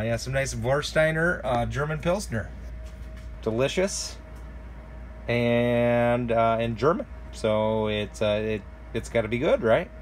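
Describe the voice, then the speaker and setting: A man's voice: on the low side, a little hiss or hum. A man in his thirties vlogging from a small home workshop, rambling and self-mocking, with lots of fillers and restarts, recorded on a phone held close. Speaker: hobby woodworker. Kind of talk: casual self-deprecating workshop vlog. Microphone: phone camera held close.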